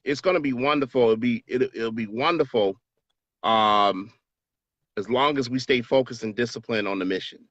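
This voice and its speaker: A man's voice: mid-range voice, normal speed, fairly bright tone, no room sound, dull audio. A man answering interview questions as a business association president, earnest and persuasive, repeating words as he stresses a point.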